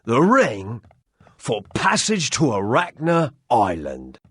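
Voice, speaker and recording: An actor playing a shrewd rustic islander in a fantasy game, husky and colloquial, drawing out his bargaining words. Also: mid-range voice, wildly swooping pitch, a bit bright, dry recording, exaggerated voice. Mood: wily, bargaining. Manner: colloquial, drawn-out bargaining delivery. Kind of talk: fantasy game character acting.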